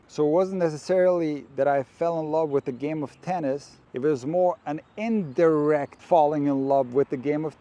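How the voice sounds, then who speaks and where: A man from Croatia who coaches tennis, talking to camera in an earnest, direct and measured way, outdoors. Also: a little high, mellow.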